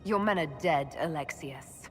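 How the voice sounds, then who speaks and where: An actress playing a firm, composed young leader in a video game, assured and blunt. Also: female voice, low-pitched, a little slow. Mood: challenging. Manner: assured, direct. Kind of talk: dramatic video game voice acting. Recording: long hall reverb, music underneath.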